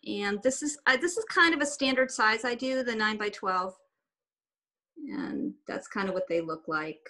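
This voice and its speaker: A woman's voice: mid-range voice, expressive, normal speed, pushed and bright. A woman whose first language is German and Swiss German, chatting casually as a guest on a video call, rambling a little with restarts. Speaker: guest artist.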